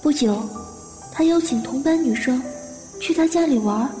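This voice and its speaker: A woman playing an earnest, anxious young woman in an audio drama, with soft, reflective delivery. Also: high-pitched, moderate pace, long reverb tail, faint music behind, natural voice. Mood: sombre, reflective. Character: determined, anxious young woman recounting her childhood trauma.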